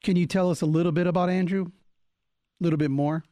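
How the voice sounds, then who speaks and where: A man hosting a radio interview, asking guests brisk questions and moving the show along. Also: high-pitched, fairly even intonation, warm tone, no echo, studio quality. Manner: brisk, steers the conversation. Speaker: radio host.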